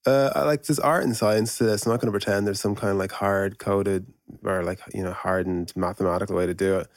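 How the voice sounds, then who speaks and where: A man's voice: lowish voice, steady tone, a little fast, no room sound, clean studio sound. A man explaining his thinking in a casual podcast conversation, informal and thinking aloud with frequent asides. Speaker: podcast guest discussing product principles and process.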